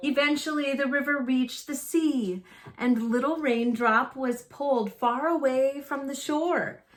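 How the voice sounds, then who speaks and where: A woman reading a children's picture book aloud in a deliberate storytelling manner, phrased simply for young listeners. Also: sits high, poor audio. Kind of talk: children's read-aloud narration.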